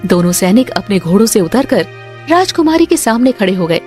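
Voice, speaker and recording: A woman narrating a scripted cartoon story in an expressive storyteller's manner. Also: mid-range voice, a little fast, faint music behind.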